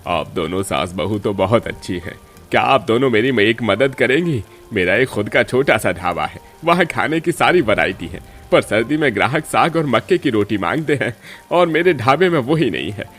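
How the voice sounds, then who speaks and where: A man's voice: mid-range voice, expressive, moderate pace, a bit bright, close to an everyday voice. A man playing a humble, polite working man in a cartoon family story, speaking earnestly. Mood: polite, hopeful. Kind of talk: Hindi cartoon story acting.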